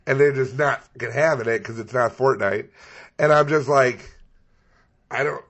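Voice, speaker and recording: A man co-hosting a comedy podcast, talking in a froggy, hoarse voice, rambling and swearing casually. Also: medium pitch, moderate pace, a bit bright. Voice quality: froggy, gravelly voice. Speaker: podcast co-host.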